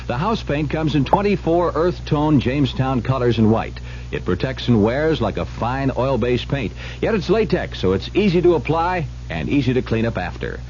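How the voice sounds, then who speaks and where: A man announcing a scripted retail radio commercial with a brisk salesman's pitch. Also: a little mellow. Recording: muffled, noisy old radio ad.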